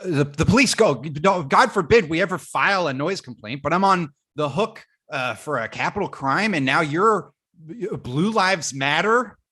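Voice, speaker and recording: A man playing a flustered, defensive young werewolf, halting and stammering, in a comedy script reading. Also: fairly high pitch, animated, pushed and bright, husky, heavily acted. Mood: indignant. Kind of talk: comedic script table read.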